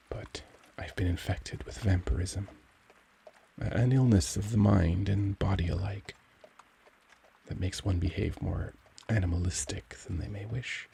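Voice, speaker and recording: Guy playing brooding courtly vampire, really low creaky voice, intimate asmr roleplay, gentle but anguished, noisy ambient background. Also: fairly even intonation, unhurried. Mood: confessional, brooding. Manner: formal, courtly, tender, brooding. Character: gentle, cultured young male vampire, anguished, protective, struggling for control.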